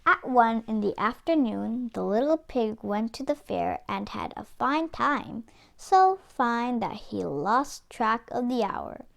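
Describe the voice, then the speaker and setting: Lady doing kids read aloud, really animated, higher voice, takes her time. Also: slow pace, creaky. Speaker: children's book reader. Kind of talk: expressive storybook read-aloud narration.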